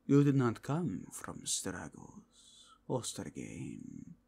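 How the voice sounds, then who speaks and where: Breathy, courtly werewolf inquisitor played by a man. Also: on the low side, wildly swooping pitch, mellow, exaggerated voice. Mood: courteous, probing. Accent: mixed foreign with Spanish touches. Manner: formal, courteous, deliberate, intense. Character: zealous, courtly werewolf inquisitor who sniffs out evil. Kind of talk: ASMR roleplay, breathy and half-whispered.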